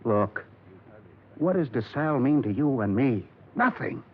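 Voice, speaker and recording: An actor playing a weary, bitter, crooked investigator in a 1950s radio drama, talking in a slow, heavy, halting way. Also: male voice, mid-range voice, animated, slightly rough. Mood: bitter pleading. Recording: dull, noisy 1950s radio recording.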